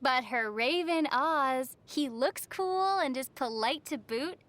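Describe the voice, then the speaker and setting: A woman voicing a young female traveler in a video game, calm and playfully teasing, with wry delivery. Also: high voice, takes it slowly, full character voice.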